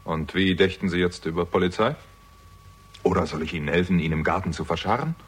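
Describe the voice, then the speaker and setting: An actor playing a jaded, hard-drinking theatrical agent with a conscience, sardonic and world-weary, in a 1960s German radio crime drama. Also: male voice, low-pitched, brisk, husky. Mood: bitter, sarcastic.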